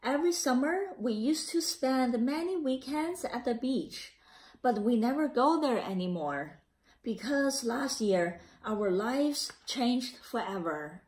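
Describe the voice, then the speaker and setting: A woman reading a children's picture book aloud in a careful, slightly halting way. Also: on the high side, animated, darkish tone. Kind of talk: read-aloud storytelling.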